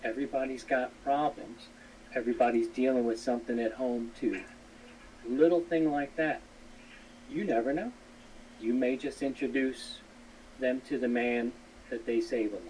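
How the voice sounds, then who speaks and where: A man's voice: mid-range voice, steady tone, normal speed, a little mellow. A man talking casually on a live stream in an earnest, rambling, repetitive way. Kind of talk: casual live stream talk, appealing to fellow hams. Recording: distant mic with faint noise.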